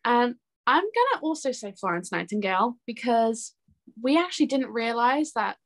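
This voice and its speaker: A woman's voice: on the high side, big pitch swings, normal speed. Young woman, casual and chatty. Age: young adult. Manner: chatty, giggly, informal. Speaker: interview guest, singer-songwriter. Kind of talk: casual chat.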